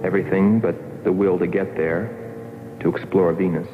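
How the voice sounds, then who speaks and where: A man playing a haunted astronaut who narrates his voyage in a brooding, deliberate, dramatic 1960s film style. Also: sits low, steady tone, natural voice. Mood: sober recounting. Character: haunted male astronaut recounting his voyage, wistful and obsessed. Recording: tinny old film soundtrack under loud music.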